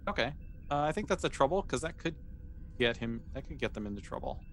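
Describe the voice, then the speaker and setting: A man's voice: medium pitch, lively intonation, quick pace, slight background noise. A man running a tabletop game as game master, narrating in a casual, easygoing, improvised way.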